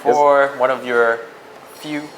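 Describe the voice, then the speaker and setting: A man's voice: mid-range voice, steady tone, slow pace. A man hosting a casual, joking chat over dinner at a restaurant, laughing often. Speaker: restaurant owner and show host. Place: restaurant table. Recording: noisy restaurant background.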